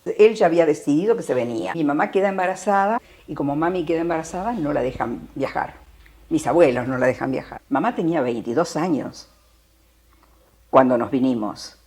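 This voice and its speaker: A woman in her seventies from Italy, recounting her childhood deliberately, at times halting. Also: deep voice, animated, a little mellow. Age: 70s. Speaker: interviewee. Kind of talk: documentary testimony.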